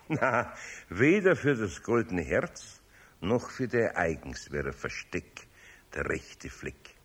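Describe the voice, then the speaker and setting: An older man with a Bavarian accent playing a kindly, patient, gently teasing master carpenter in a children's radio play. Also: fairly low pitch, very animated, slow, breathy edge, heavily acted. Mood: tender.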